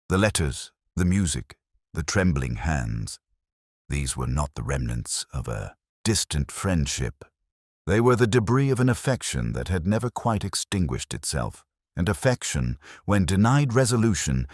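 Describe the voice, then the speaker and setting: An extremely deep-voiced man narrates a literary detective story in the first person. His delivery is measured and reflective, yet the intonation rises and falls widely. The sound is dry, with very little room around the voice. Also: no echo. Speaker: audio drama narrator.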